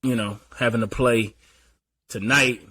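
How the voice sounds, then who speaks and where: A man with a mid-pitched, bright, forward voice. He breaks down games in an easygoing, analytical way and often clears his throat. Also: steady tone, unhurried. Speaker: podcast host. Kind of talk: casual sports chat.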